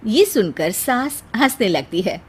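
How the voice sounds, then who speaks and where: A woman's voice: mid-range voice, big pitch swings, measured pace, husky. A woman narrating a scripted cartoon story as a storyteller.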